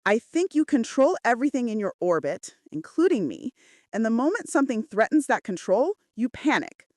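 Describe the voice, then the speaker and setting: Woman acting defiant, emphatic female assistant, animated pitch. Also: normal speed, no room sound. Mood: angry, defiant. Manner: emphatic, outspoken. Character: defiant, loyal young female assistant to a crime boss. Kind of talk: dramatic romance audiobook dialogue.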